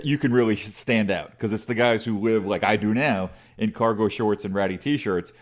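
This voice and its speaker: A middle-aged man with a big voice, telling rambling, self-deprecating stories as an interview guest with many restarts. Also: fairly low pitch. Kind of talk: casual conversational storytelling.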